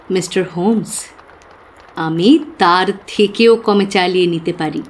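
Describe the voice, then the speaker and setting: An actress playing an earnest young working woman who confides expressively and with pauses in an audio drama. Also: fairly high pitch, a little mellow. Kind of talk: dramatised audio story acting.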